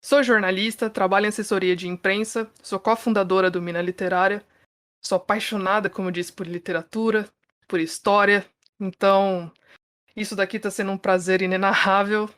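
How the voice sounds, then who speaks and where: A woman's voice: mid-range voice, flat delivery, brisk, fairly bright tone. A woman, co-hosting a podcast in a chatty manner, laughing often and checking in with listeners. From São Paulo, Brazil. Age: 30s. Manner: chatty, laughs often, frequent tag questions. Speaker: podcast co-host. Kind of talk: casual podcast chat.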